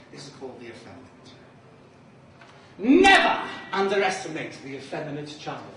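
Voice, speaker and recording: A man from Liverpool, a drag performance poet, bantering camply with a live crowd and reciting his poem. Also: on the high side. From Liverpool, England. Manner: camp, flamboyant, chatty with the crowd. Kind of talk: live stage poetry with banter. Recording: echoey room from far off, noisy.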